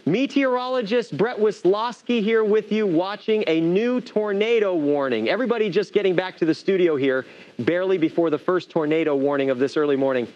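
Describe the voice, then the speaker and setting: A man's voice: high voice, brisk, darkish tone, highs cut off. A man working as a TV meteorologist, giving live, conversational and explanatory severe weather commentary.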